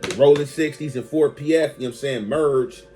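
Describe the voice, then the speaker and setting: A male online commentator with an American accent talks in a casual, unscripted way. His phrasing is slangy and conversational, and he keeps checking that the listener follows. His voice sits at a middle pitch. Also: fairly quick, darkish tone, soft background music. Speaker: online video commentator and host.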